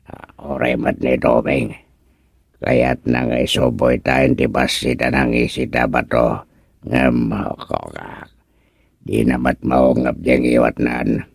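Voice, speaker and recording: A man acting a grumbling villager turned frog in a comedic radio drama, halting and punctuated with croaks. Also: a bass voice, dry recording, studio quality, heavily acted. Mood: wary, bargaining.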